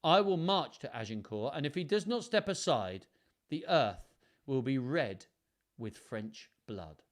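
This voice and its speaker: A man voicing a calm, firm young English king. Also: medium pitch, unhurried. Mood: resolute.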